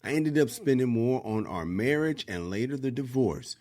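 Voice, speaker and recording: A man's voice: mid-range voice, animated, moderate pace, a little mellow, close to an everyday voice. Man putting on a Nigerian man's accent. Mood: telling. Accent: Nigerian, put on. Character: Nigerian male listener telling his story.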